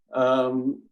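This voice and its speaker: A man in his sixties whose first language is Italian, telling his life story as an interview guest in a halting way, with many restarts and fillers. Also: medium pitch, highs cut off. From United States. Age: 60s. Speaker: interview guest, business executive. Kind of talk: conversational storytelling.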